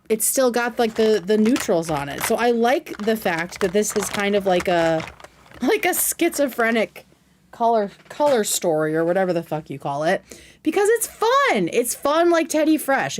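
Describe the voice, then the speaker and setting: A woman's voice: on the high side, slight background noise. A woman hosting a casual livestream, giving effusive, slangy commentary peppered with swearing. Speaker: livestream host reacting to a video.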